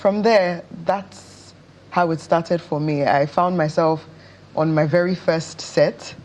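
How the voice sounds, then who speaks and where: A woman with a Nigerian accent giving measured, expressive answers as an actress being interviewed. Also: sits low. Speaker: interview guest, stage and screen actress.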